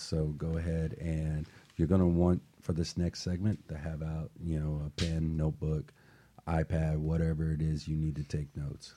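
Male motivational radio host, deep and husky, earnest and emphatic in delivery. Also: mellow. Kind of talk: motivational talk show discussion.

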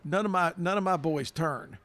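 A man from Kentucky co-hosting a casual chat, telling an anecdote with quick animated asides. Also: a little high.

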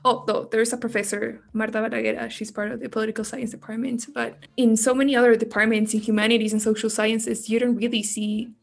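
A young woman talks as a student panelist in a casual discussion. Her voice sits a little high and stays quite flat in intonation. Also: a little fast, vocal fry, few pauses. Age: young adult.

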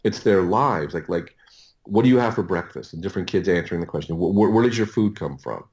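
A man talking casually as an interview guest, explaining things in a halting way and often repeating his words. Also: low voice, animated, darkish tone. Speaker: interview guest, audio producer.

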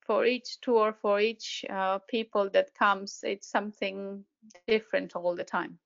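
A woman's voice: mid-range voice, fairly even intonation, a little slow, vocal fry, lo-fi sound. A woman with a Romanian accent chatting casually as an interview guest, searching for words as she goes. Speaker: podcast guest, tour operator.

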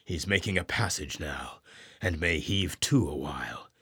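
A man voicing a grim, imperious old sea captain who swings from booming orders to hushed brooding. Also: measured pace, breathy edge, no echo, studio quality, exaggerated voice. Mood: commanding. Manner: stern, booming commands then hushed brooding.

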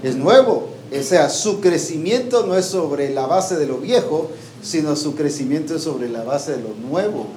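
A man's voice: on the high side, animated, measured pace, a little mellow. A man preaching a sermon to a congregation, often asking them questions and waiting for their answers. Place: church hall with a congregation. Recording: echoey hall with faint background noise.

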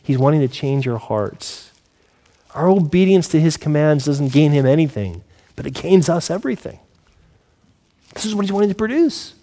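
A man's voice: on the high side, animated, moderate pace, pauses often, dark tone. A man in his forties speaking as a pastor, giving a sermon in an earnest, conversational way and putting questions to his listeners. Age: 40s.

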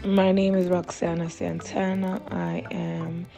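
A woman in her late twenties, speaking slowly and reflectively in an interview. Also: lowish voice, little pitch movement, creaky, over music. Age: 20s.

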